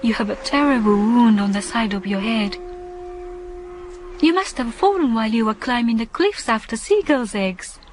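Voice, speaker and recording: A high-pitched, earnest young island woman, played by an actress, devoted and gently pleading. It is radio-drama acting over faint ambience. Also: animated, normal speed, slight background noise, lightly acted. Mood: tender, insistent.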